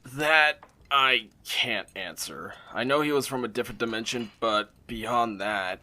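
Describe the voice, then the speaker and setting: Man as a bright-voiced, earnest pony dad. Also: mid-range voice, a little slow. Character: earnest, supportive pony father of royal family. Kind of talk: amateur cartoon audio drama acting.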